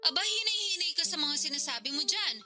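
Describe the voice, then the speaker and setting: A woman acting as a high-voiced, defensive older sister. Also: lively intonation, quick pace, bright, forceful tone, soft background music, exaggerated voice. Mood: indignant, bitter. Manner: indignant, challenging. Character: defensive, confrontational older sister protecting her daughter. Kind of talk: Tagalog radio drama acting.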